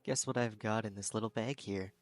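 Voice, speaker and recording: A man voicing an expressive, easily exasperated young male game character with an animated, questioning fan-dub delivery. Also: fairly low pitch, a little fast, lightly acted. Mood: eager.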